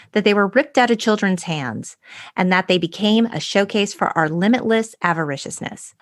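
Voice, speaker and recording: A woman's voice: on the low side, dry recording, clean studio sound. A woman co-hosting a podcast, talking conversationally through her notes with a wry, playful manner. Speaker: podcast co-host. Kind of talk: conversational podcast talk with read-out notes and quotes.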